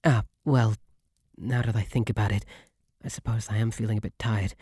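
A man with a British accent voicing a shy, devoted, submissive bunny butler in an intimate ASMR roleplay, soft, breathy, halting and deferential. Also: fairly low pitch, fairly even intonation, dry recording, clean studio sound. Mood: tender, polite.

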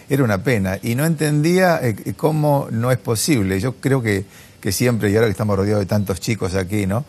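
A man in his fifties with an Argentine accent giving hesitant, reflective answers in a studio interview on a lapel mic. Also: fairly low pitch. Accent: Argentine Spanish. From Chacabuco, Argentina. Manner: hesitant, reflective, seeks agreement with tag questions. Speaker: interview guest, businessman. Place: television studio.

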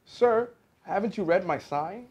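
Storybook mouse dentist, read by a man: small, brave, businesslike, raised pitch, lively swings. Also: moderate pace, husky. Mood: firm, shouting. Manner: announcing, shouting orders, professional.

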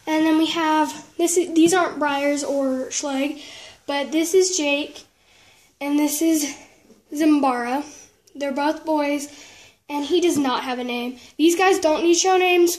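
A woman giving a casual show-and-tell narration in a halting way, often trailing off and correcting herself. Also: sits high. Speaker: vlogger giving a tour of a toy horse barn. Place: indoors at home, room with wooden floor. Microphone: handheld camera, speaker behind it.

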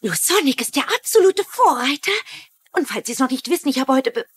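An actress playing a polite, eager secretary, easily flustered, in a dubbed TV drama. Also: female voice, sits high, lively intonation, fairly quick, breathy edge, no echo, studio quality. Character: flustered, eager, knowledgeable female stockbroker's secretary. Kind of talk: German-dubbed TV drama acting.

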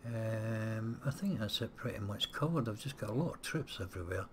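Low-voiced man chatting through gameplay. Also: animated, normal speed, a little hiss or hum. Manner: chatty, muttering to himself. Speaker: let's play gamer. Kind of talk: casual gameplay commentary. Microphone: desk mic set close.

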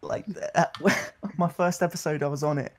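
Woman joking in a halting way. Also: sits low, fairly even intonation. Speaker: voice actor. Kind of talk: casual joking chat.

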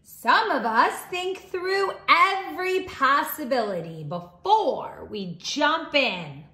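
A woman teaching young children, reading a storybook aloud in an expressive, deliberate way. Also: high voice. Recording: roomy, echoing indoor sound.

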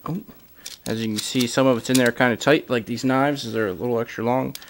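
A man's voice: medium pitch, steady tone, fairly quick, a little hiss or hum. A man giving a casual product walkthrough as a gear reviewer, filler-heavy, recorded indoors on a camera mic. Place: indoors, camera over a carpeted floor.

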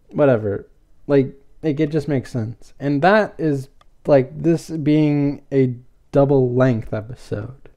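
Male podcaster, unhurried, soft-edged mellow voice, casual review, hesitant and rambling, enthusiastic. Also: a little high. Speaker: podcast host.

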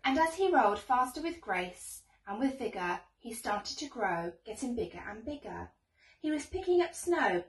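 A young woman reading a rhyming bedtime story aloud to children in an expressive, sing-song storybook manner. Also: on the high side, slight background noise. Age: young adult. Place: small bedroom, indoors. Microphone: camera a few metres away. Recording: roomy echo, distant microphone.

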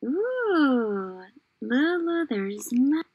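A woman's voice: high voice, sing-song, drawn-out words, darkish tone, lo-fi sound. A young woman teaching small children in an encouraging, exaggerated child-directed way, full of questions. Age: young adult. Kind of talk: children's lesson and read-aloud. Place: small indoor room.

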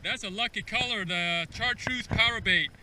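Middle-aged male, high-pitched, casual vlog style, encouraging short calls, recorded from a distance outdoors under heavy noise. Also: normal speed. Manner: encouraging, excited coaching in short repeated phrases. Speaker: father coaching his son while fishing. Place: outdoors on a reservoir bank. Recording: outdoors, distant mic, loud background noise.

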